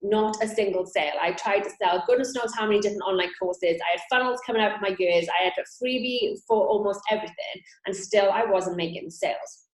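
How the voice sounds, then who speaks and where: Welsh woman in her thirties, upbeat sales coach, mid pitch, recorded in an echoey room. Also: a little fast, hardly any pauses. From Wales. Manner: upbeat, chatty, direct to camera. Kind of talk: online training talk.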